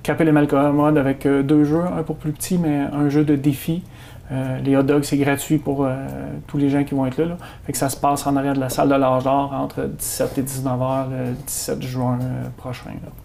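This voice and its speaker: A Québécois man talks casually as an interview guest. His voice has a gravelly fry and a mellow, dark tone. He pauses often to fill gaps as he explains. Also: medium pitch. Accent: Québécois French. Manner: many hesitations, animated when announcing the event. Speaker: guest from a community organization.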